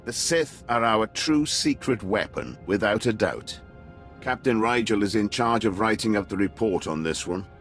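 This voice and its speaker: Imperial colonel: very low register, grave, pompous, deferential to superiors, scornful of rebels. Game cutscene. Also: male voice, lively intonation, moderate pace, fairly bright tone, faint music behind. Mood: triumphant, formal. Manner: pompous, formal military address. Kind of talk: video game cutscene acting.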